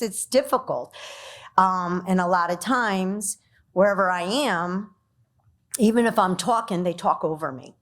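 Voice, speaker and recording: A woman speaking on a business panel, a funeral director with a loud voice and a brisk, animated way of talking that she says she has learned to slow down. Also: fairly low pitch. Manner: brisk, animated, laughs between points. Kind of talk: panel discussion.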